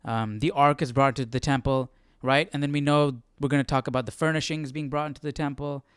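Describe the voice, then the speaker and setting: A man with a smooth, clear voice hosts a study livestream in a casual, conversational way. He thinks aloud and often tags his sentences with a questioning "right?". The sound is dry and close, with an average male pitch. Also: fairly even intonation, a little fast, a bit bright, no echo. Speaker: host of a live Bible study.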